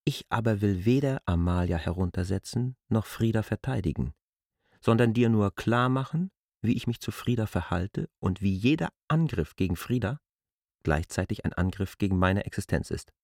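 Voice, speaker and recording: An actor playing a proud, defensive land surveyor in a German literary radio drama, arguing deliberately in formal phrasing. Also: male voice, lowish voice, lively intonation, darkish tone, no echo, studio quality. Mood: defiant.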